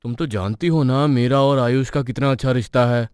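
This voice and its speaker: A man's voice: medium pitch, a little fast, a little mellow, smooth, dry recording, clean studio sound, close to an everyday voice. A man playing an exasperated young adult son in a cartoon story, aggrieved and reproachful. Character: exasperated adult son caught between quarrelling women. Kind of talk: Hindi cartoon story acting.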